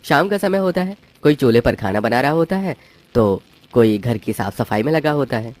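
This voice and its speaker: A man's voice: medium pitch, lively intonation, normal speed. A man reading scripted narration for a cartoon moral story. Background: background music.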